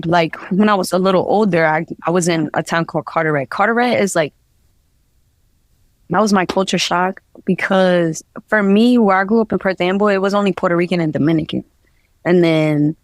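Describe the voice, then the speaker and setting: A woman's voice: on the low side, dry recording. A young woman from New Jersey, a guest artist, talking casually in an interview and rambling with many fillers. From New Jersey, USA.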